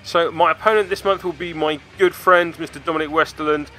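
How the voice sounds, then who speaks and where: Bright-voiced man hosting game commentary. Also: on the high side, fairly even intonation, a little fast. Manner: jokey, self-deprecating, theatrical turns of phrase. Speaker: web series host. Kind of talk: tabletop game video commentary.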